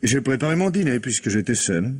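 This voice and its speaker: A man's voice: medium pitch, very animated, fairly quick, breathy edge. An actor playing an evasive, flustered husband in his thirties in a French radio mystery, hesitant, stammering and backtracking. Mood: flustered, defensive. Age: 30s.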